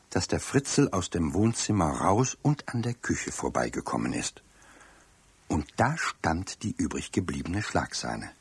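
A man playing a genial Bavarian storyteller, narrating a children's radio play in a husky, rough voice. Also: sits low, dry recording, full character voice. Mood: genial, conspiratorial.

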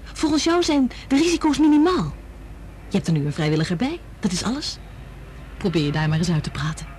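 A woman's voice: mid-range voice, big pitch swings, brisk, darkish tone, a little hiss or hum, natural voice. An actress in a radio drama playing an anxious, determined woman scientist who speaks urgently and earnestly. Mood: determined, persuasive.

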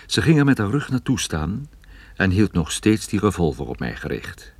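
A man's voice: sits low, big pitch swings, normal speed, darkish tone, slightly rough, no room sound, heavily acted. Een man die als privédetective in een hoorspel zijn zaak navertelt, strak en gespannen. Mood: tense narration. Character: male private detective recounting his case, tense and terse. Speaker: first-person narrator. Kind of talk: scripted radio drama narration.

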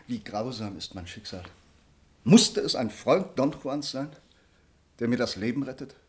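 A man's voice: mid-range voice, expressive, a little slow, natural voice. Man acting an earnest nobleman with sweeping delivery. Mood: courteous, pained. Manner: formal, eloquent, earnest. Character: honor-bound young nobleman, grateful, earnest, passionately restrained. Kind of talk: German radio play acting of classic drama.

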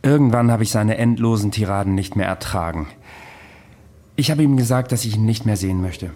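A man's voice: lowish voice, brisk, darkish tone, natural voice. An actor in a German radio drama playing an ageless, worldly-wise man who recounts his past in a dry, matter-of-fact way. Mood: dry, weary recollection.

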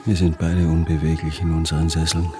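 An actor playing a weary, obsessive, desperate husband, narrating a literary radio drama in halting, deliberate phrases. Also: male voice, extremely low pitch, monotone, music in the background. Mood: weary, bleak. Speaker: actor narrating as lead character.

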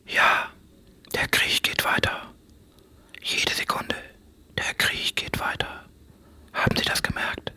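A man with a husky, breathy voice delivers a literary radio monologue in stark, clipped phrases, often repeating himself. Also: slow, long pauses, sharp tone, in a whisper. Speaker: writer.

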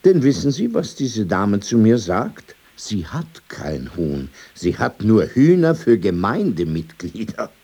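Husky, animated old gentleman. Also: on the low side, exaggerated voice. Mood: insistent, wry. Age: older. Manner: polite, insistent, drawn-out storytelling with asides. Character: opinionated old gentleman, courteous storyteller. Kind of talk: radio play dialogue, anecdote telling.

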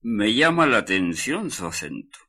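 An actor playing a curious, wary young man in a radio drama, with a husky voice and an expressive, questioning way of talking. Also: on the low side, normal speed, heavily acted.

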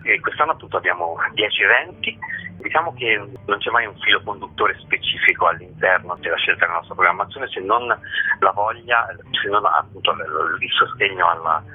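A man with a deep, rough-edged voice answers as an interview guest. He explains things haltingly, circling back and repeating filler words. The sound is thin and band-limited, like a telephone on the radio. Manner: hesitant, with frequent filler words and repetitions. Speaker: festival artistic director, interview guest. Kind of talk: spoken interview, explaining an event. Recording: muffled narrow-band radio phone line.

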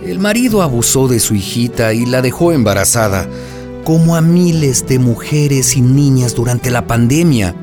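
An actor plays a nosy neighborhood father narrating a radio drama. He confides like a local gossip, his male voice swinging expressively over clear background music. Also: medium pitch, animated, moderate pace, lightly acted. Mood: concerned. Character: nosy, folksy neighborhood father telling stories.

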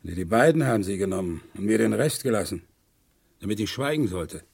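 A man's voice: fairly low pitch, lively intonation, fairly quick, gravelly, a little mellow, slightly rough, heavily acted. An actor in a 1970s radio crime play voicing a weary, broken man who confesses with bitter, resigned repetition. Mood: resigned confession. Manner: resigned, repeats himself bitterly.

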